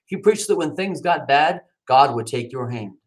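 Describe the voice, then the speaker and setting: A man's voice: expressive, moderate pace. A man at home chatting casually about his cat, then reading his own poems aloud with swooping, dramatic delivery. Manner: casual aside then dramatic poetry reading with pitch swings. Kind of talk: casual chat and poetry reading.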